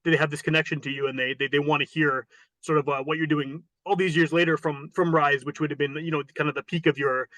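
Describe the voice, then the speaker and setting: A man's voice: a little high, flat delivery, quick pace, fairly bright tone, dry recording. A man hosting a podcast, asking long winding questions full of restarts and repeated words. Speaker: podcast host. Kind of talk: interview questions.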